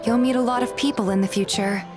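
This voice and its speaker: A woman's voice: fairly high pitch, fairly even intonation, quick pace, close to an everyday voice. A woman playing a guarded, feisty young heroine, narrating a romance game in the first person and acting out her dialogue. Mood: wistful. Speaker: narrator and lead in a fan dub. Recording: music behind the voice. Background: music.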